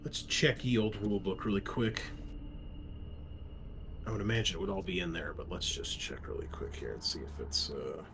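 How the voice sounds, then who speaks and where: A man's voice: low-pitched, moderate pace, background noise. A man acting as game master, talking casually and thinking aloud as he checks the rules. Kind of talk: casual tabletop game refereeing.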